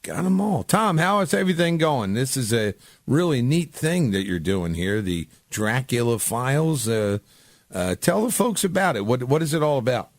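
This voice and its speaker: A man's voice: medium pitch, very animated, normal speed, no echo, clean studio sound. A man hosting a radio show, chatting casually in an informal way and often repeating his phrases. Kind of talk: casual radio interview chat.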